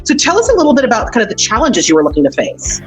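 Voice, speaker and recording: A woman's voice: fairly high pitch, wildly swooping pitch, very fast, faint music behind, rough recording. A woman interviewing in a casual, informal and effusive way.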